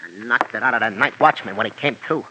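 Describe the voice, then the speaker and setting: An actor playing a crude, trigger-happy gangster henchman in an old radio drama, with rough, slangy, impatient tough-guy talk. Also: mid-range voice, fast talker, sharp tone. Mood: impatient, aggressive. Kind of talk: 1940s-50s radio crime drama acting. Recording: noisy old-time radio recording.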